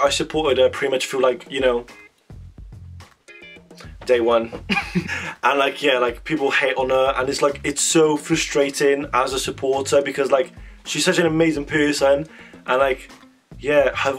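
A hoarse young man chatting excitedly in a small bedroom while reacting to a song, talking into a webcam with lots of "like" and quick giggles. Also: mid-range voice. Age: young adult. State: hoarse, voice strained from screaming. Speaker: music reaction vlogger. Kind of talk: casual reaction chat. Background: song playing quietly.

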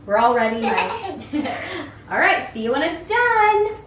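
Young woman chatting while she cooks at home, bit high voice, echoey kitchen, some faint room hum. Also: big pitch swings, takes it slowly, a bit bright. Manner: chatty, self-teasing, talks while cooking. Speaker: cooking vlogger. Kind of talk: casual cook-along chat. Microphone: camera on the counter, at some distance.

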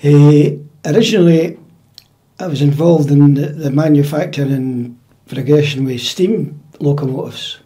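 A Scottish man in his seventies, recalling his working life in a measured, matter-of-fact way during a relaxed interview in a dining room at home. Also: fairly high pitch. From Scotland. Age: 70s. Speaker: interview guest, retired drummer. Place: dining room in a private house.

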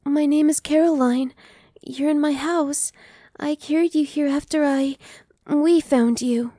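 A soft-spoken young vampire woman, played by a young woman in an audio roleplay. Her voice is high yet mellow, slow and reassuring, slipping into whispers, recorded close and dry. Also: fairly even intonation, no room sound. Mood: soothing. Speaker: voice actress. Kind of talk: ASMR roleplay.

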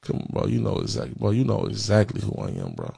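A man's voice: lowish voice, wildly swooping pitch, fast talker, vocal fry, mellow, husky, no room sound. A man in his thirties on a prank phone call, talking in street slang with an insistent, repetitive, streetwise manner, close on a studio microphone. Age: 30s. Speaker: prank caller. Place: indoor streaming studio with backdrop. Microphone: close podcast microphone on a stand, headphones.

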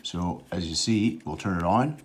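A middle-aged man with a very low voice walks through a hands-on project in an easy, matter-of-fact way, filling pauses with the odd "uh." He talks while working at an indoor bench, the camera aimed down at his hands. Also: heavy background noise. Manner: plain, matter-of-fact explaining with fillers. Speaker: outdoors channel host. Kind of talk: casual how-to demonstration. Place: indoors at a workbench.

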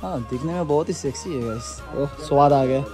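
Young man, vlogger, excitable, slightly hoarse, high-pitched, music behind. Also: brisk. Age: young adult. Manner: excitable, chatty, addresses viewers as guys. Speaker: travel vlogger. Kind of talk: casual vlog chat to camera. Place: outdoors at night, hotel, car interior.

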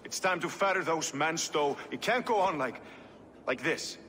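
An actor playing a gruff, suspicious medieval reeve in a video game, curt and sardonic. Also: male voice, a little high, lively intonation, normal speed, pushed and bright. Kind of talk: dramatic video game cutscene acting. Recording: reverberant stone hall, faint noise.

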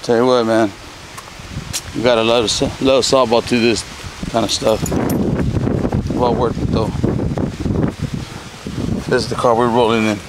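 A man organizing a youth softball clinic, talking casually to his handheld camera outdoors in a loose, upbeat way with plenty of fillers. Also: mid-range voice, flat delivery, a little slow, pauses often, noisy background. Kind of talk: casual vlog narration to camera.

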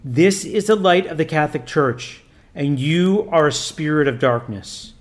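Stern exorcist priest , read by a man: loud, formal, commanding, a bit high in pitch. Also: animated, unhurried. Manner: loud, commanding, formal old-fashioned speech. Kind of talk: audiobook reading.

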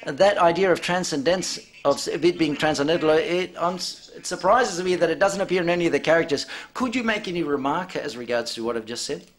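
A man asking formal, measured questions at a press conference. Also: fairly high pitch.

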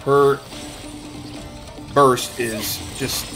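A man's voice: medium pitch, steady tone, extremely slow. Man commentating on gameplay, music behind. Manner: explanatory, addressing viewers. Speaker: game streamer and host. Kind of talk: casual live gameplay commentary.

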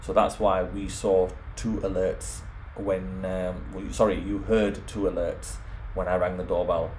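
Low-voiced man, informal tech walkthrough, noisy recording. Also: flat delivery, unhurried, darkish tone. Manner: explains step by step. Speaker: home automation tutorial presenter. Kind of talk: informal software screen walkthrough.

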